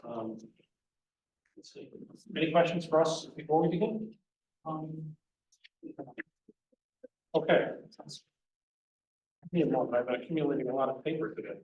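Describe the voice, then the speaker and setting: A man serving as competition judge, reading out rules in a measured way with frequent fillers. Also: fairly high pitch, a little mellow, distant mic, lo-fi sound. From United States. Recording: echoey room heard from afar, faint noise.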